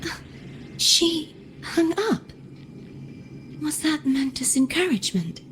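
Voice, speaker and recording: Shy young game heroine, highish voice, earnest n hesitant, bit of background noise. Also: female voice, lively intonation, takes it slowly, a bit bright, exaggerated voice, low-quality recording. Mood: puzzled. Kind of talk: video game voice acting.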